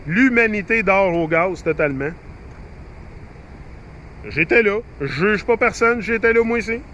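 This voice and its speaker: Man, Québécois French accent, rambling vlog talk, restarts and fillers, bright tense tone, faint outdoor ambience. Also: high voice, lively intonation, measured pace, dull audio, slight background noise. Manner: rambling, chatty, frequent fillers and restarts, mixes in English phrases. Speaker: YouTuber. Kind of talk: rambling casual monologue to viewers.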